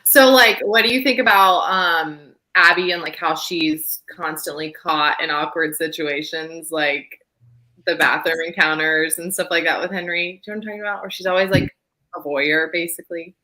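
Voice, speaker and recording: A woman's voice: lowish voice, normal speed, sharp tone, poor audio. A woman hosting a casual book chat, leading the discussion in an informal, conversational style.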